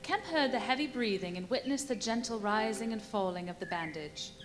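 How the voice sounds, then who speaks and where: A woman's voice: on the high side, expressive, a little fast, lightly acted. A woman acting as the storyteller in a live radio play, giving scripted dramatic narration. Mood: measured storytelling. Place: live performance at a brewery venue. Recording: live stage recording with faint noise.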